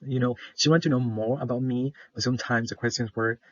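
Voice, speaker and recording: A man's voice: mid-range voice, fairly even intonation, a little fast, no echo. Hesitant young man from El Salvador. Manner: hesitant, many fillers, shy. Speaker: student presenter. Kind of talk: class presentation. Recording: dull lo-fi dry sound.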